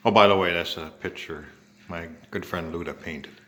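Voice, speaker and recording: An older man with an American accent giving a casual, halting show-and-tell narration in short phrases. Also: sits low, fairly even intonation, fairly bright tone. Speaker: home video maker showing an antique radio.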